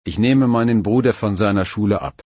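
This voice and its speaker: A man with a somewhat low voice and a husky, breathy edge reads practice phrases one at a time. He speaks slowly and evenly, pausing after each phrase. The sound is dry and close, with no room behind it. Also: no echo. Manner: slow, even, careful phrase-by-phrase reading. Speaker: phrase reader. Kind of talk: reading phrases for learners.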